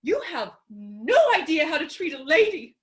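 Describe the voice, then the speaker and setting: A woman's voice: high voice, sing-song, moderate pace. A woman playing an indignant, haughty young lady with a prim, theatrical, emphatic delivery in a stage comedy reading. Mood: offended, haughty.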